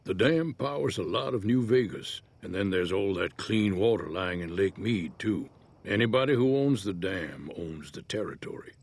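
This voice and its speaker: An actor playing an easygoing older American prospector in a Western-style video game, folksy and laconic in manner. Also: male voice, deep voice, expressive, normal speed, vocal fry, exaggerated voice. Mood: measured, wary. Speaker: game character, townsfolk prospector. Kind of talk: video game character dialogue.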